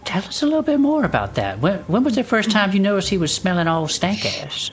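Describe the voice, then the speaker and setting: A woman's voice: mid-range voice, sing-song, a little fast, a little hiss or hum, natural voice. A woman playing a folksy, sympathetic and inquisitive investigator in an audio drama, with a blunt, plain-spoken manner. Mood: curious, probing. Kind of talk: immersive audio drama acting.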